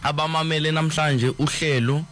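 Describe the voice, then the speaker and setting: A man's voice: a little high, fairly even intonation, normal speed, fairly bright tone, slight background noise. A man hosting a radio programme and thanking his guest in a formal manner. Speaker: radio host.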